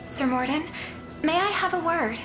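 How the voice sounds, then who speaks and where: A woman's voice: high voice, animated, normal speed, over music. A woman playing a loyal, deferential young townswoman in a fantasy game, polite and earnest. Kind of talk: video game fantasy acting.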